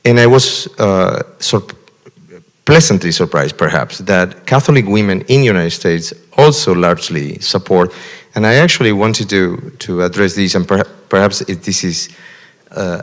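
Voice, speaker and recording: A man's voice: sits low, fairly bright tone, in a large hall. A man from Argentina moderating a community panel discussion, speaking hesitantly with many fillers and restarts.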